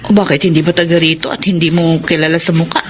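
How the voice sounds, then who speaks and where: A woman's voice: low voice, steady tone, quick pace, natural voice. A woman playing an anxious, secretive middle-aged mother in a Filipino radio drama. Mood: concerned. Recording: clearly noisy background ambience.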